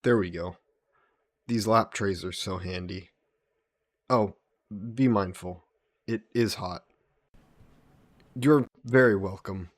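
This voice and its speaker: A courteous old-world vampire nobleman, acted by a man in an intimate audio drama. His voice sits on the low side, and he speaks in polite, formal phrases, gentle and modest with a touch of wistfulness. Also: slow pace, long pauses. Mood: gentle, attentive. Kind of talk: scripted one-sided audio roleplay, intimate and conversational.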